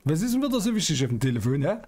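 A man's voice: fairly high pitch, very animated, rapid-fire, a little mellow. A middle-aged man giving casual, playful gameplay commentary and chatting in character. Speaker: streamer hosting a GTA roleplay series. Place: small indoor streaming room.

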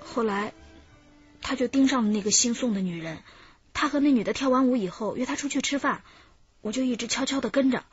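High-pitched, husky young woman, nervous. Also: no echo, lo-fi sound. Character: frightened young female accomplice under police questioning, evasive. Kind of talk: Chinese radio drama acting.

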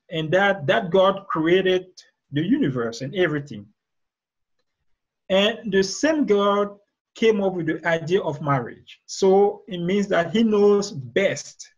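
High-voiced Cameroonian man, husky. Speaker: guest author and relationship expert. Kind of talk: interview answers, explaining. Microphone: video call. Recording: dull, lo-fi video call audio.

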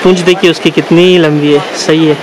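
A man, casually narrating a travel vlog as he walks, pointing things out to viewers in an unhurried way. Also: a little high, level tone. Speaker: travel vlogger. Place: outdoors on a forested trail by a stream and waterfall. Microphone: handheld camera at arm's length. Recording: noisy outdoors with rushing water.

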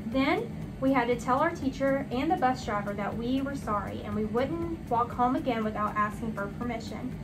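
A young adult woman reading a children's storybook aloud in an animated, deliberate way. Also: a little high, rough recording. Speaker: storybook reader. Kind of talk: expressive children's read-aloud narration. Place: small furnished room indoors. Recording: music behind the voice.

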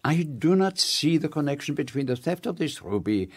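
An actor playing a fastidious middle-aged detective in a radio play, precise and slightly fussy. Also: male voice, a little high, very animated, slightly rough. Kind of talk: 1960s radio drama acting.

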